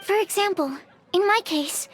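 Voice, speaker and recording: Very high-voiced shy young alchemist. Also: female voice, moderate pace, fairly bright tone, a little hiss or hum. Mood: earnest, studious. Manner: hesitant, earnest, precise scientific phrasing. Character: shy young female alchemist, earnest, polite, bookish. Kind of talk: video game voice acting.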